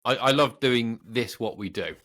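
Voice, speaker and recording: A man's voice: mid-range voice, moderate pace, a bit bright. A middle-aged British man co-hosting a podcast, chatting casually in a rambling, self-deprecating way. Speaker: podcast co-host. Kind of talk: casual chat.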